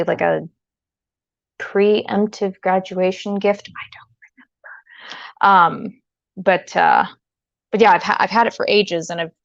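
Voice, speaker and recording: A woman streamer with a creaky, mid-pitched voice, chatting casually as she tinkers. She rambles hesitantly and drops in playful asides. The sound is dry and somewhat dull. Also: no echo. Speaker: livestreamer, host. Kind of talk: casual livestream chat while tinkering with gear.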